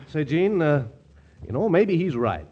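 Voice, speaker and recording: An actor playing a brash, dim, jovial young ex-athlete fiancé in a 1940s radio comedy. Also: male voice, on the high side, big pitch swings, unhurried, mellow, muffled recording, slight background noise. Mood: easygoing. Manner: blustery, chuckling at his own wit. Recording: vintage 1940s radio broadcast.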